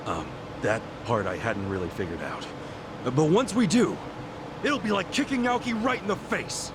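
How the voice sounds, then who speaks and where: A man voicing a brash, warm-hearted, impulsive and loyal ex-yakuza in a video game dub. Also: fairly high pitch, very animated, moderate pace, background noise. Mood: eager. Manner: informal, blunt, eager.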